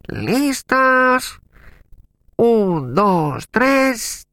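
Storybook race starter male actor: ceremonious, excitable, forceful, slow proclamations, hugely animated. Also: very high voice, dry recording, studio quality, full character voice. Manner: ceremonious, exclaiming. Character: booming, excitable animal race announcer in a fable. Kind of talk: children's audio story acting.

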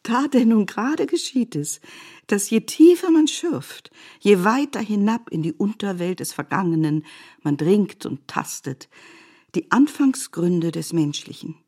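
A woman narrating a literary radio play in measured, deliberate phrases, as a reflective storyteller. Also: mid-range voice, expressive, warm tone, husky.

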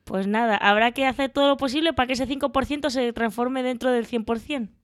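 A woman with a Spanish accent from Spain talks in a fairly high voice, bantering playfully on a board game podcast. She teases her co-host, and the recording is dry and close. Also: steady tone, fairly quick, no echo. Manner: playful and teasing, laughs between lines. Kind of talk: casual board game news chat.

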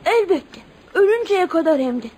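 Radio play child role: loyal, soft-hearted youngster, extremely high pitch, earnest delivery, slightly noisy recording. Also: female voice, big pitch swings, normal speed. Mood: earnest, pleading.

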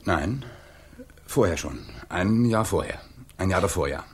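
An actor in his thirties playing a guarded, evasive working man under questioning in a radio play, hesitant and subdued, with a breathy husky voice. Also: sits low, lively intonation, unhurried, exaggerated voice. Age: 30s. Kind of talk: 1980s German radio play acting.

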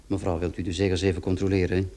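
A man's voice: sits low, level tone, quick pace, mellow, natural voice. A man playing a pompous, fussy notary in a radio play, speaking formally and precisely. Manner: formal, precise, ceremonious, shushing. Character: pompous, fussy male notary reading out a will.

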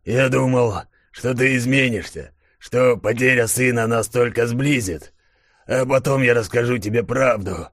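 A man acts out a dying spymaster father in an audiobook drama. He sounds hoarse and earnest, commanding yet full of remorse, in a mid-pitched voice recorded dry. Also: unhurried, fairly bright tone, no echo. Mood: remorseful.

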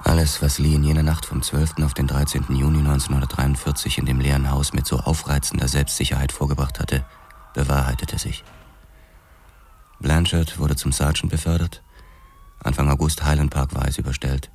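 Very deep-voiced, laconic ex-boxer cop. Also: male voice, little pitch movement, moderate pace, darkish tone, a little hiss or hum. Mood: sober, reflective. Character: laconic male ex-boxer cop narrating his past. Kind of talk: scripted first-person crime radio drama narration.

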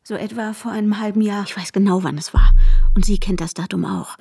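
Radio drama lead: haunted, obsessive woman, intense and breathy, often whispering, mid-range pitch, music behind. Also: a little fast, mellow. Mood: bitter, haunted. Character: traumatised, obsessive woman narrating her own ordeal. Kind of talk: radio drama acting with inner monologue.

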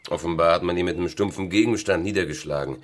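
A man's voice: low voice, fast talker, lightly acted. A man playing a matter-of-fact family friend. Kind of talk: radio play acting.

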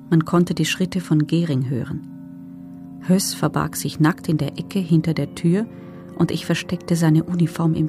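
An actress playing a former female prisoner who gives sober, measured, matter-of-fact testimony in a documentary radio drama. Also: low-pitched, dark tone.

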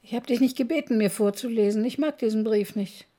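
A soft, dark-toned voice pitched a little high, an actress as a bitter middle-aged mother in a radio drama. She is curt and reproachful, holding people at a distance. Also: a little fast, lightly acted. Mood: reproachful, suspicious.

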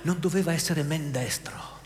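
An actor playing a grave, indignant narrator who comments on an unjust trial in dramatic, emphatic radio theatre narration. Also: male voice, fairly high pitch, normal speed, lightly acted. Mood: solemn irony. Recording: long reverberant hall, guitar music underneath.

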